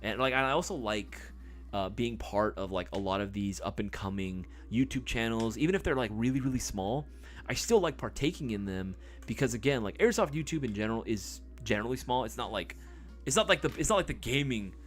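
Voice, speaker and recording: A man's voice: medium pitch, animated, a little fast, faint music behind. A man hosting a live stream in casual chat, reading viewer comments, rambling with many fillers and casual swearing.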